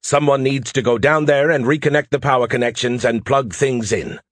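A man's voice: mid-range voice, normal speed, no echo, clean studio sound, pitched above the performer's own voice, close to an everyday voice. A man voicing a sarcastic, irritable male artificial intelligence in an audiobook, condescending and mocking. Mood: sarcastic, scolding. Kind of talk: audiobook character voice.

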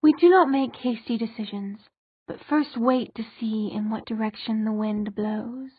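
Woman playing a haughty, high-voiced fairy queen. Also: unhurried, breathy edge, no echo, phone-quality sound. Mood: cool, calculating. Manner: imperious, formal, gloating.

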